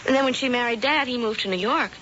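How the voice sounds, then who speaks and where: A woman's voice: a little high, fast, a bit bright, a little hiss or hum, lightly acted. A woman playing a sweet, flustered young woman. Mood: earnest. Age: young adult. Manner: polite, flustered, stammering. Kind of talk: 1970s radio drama acting. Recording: dull old radio broadcast with music.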